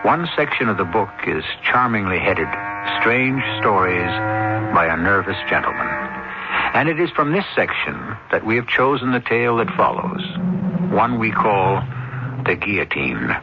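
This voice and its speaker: A man introducing a radio play in measured scripted narration with dramatic pauses. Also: lowish voice, very animated, vocal fry. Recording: muffled old radio broadcast, loud music. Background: loud music.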